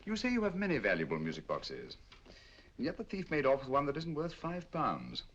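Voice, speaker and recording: Brief: sharp British detective, quick lively delivery, crisp questions, muffled old film sound. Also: male voice, medium pitch, slight background noise. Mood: probing. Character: sharp, inquisitive male detective. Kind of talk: 1940s film acting.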